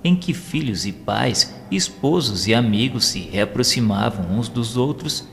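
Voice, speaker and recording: A man's voice: mid-range voice, expressive, unhurried, gravelly. A man reading scripted dramatic narration in a solemn, formal, literary manner. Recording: long hall reverb, faint music. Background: faint music.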